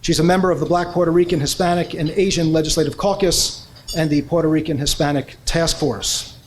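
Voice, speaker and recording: A man presents honorees at an awards event. He reads formal biographies in a slightly high voice and fills his pauses with "uh". Faint room noise sits under the speech. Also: fairly even intonation. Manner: reads prepared bios with frequent "uh" fillers.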